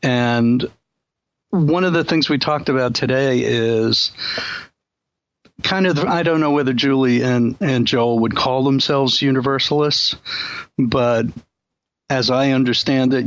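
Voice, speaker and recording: A man's voice: mid-range voice, no echo, muffled recording. A man hosting a casual discussion, speaking thoughtfully with frequent ums and you-knows. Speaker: host.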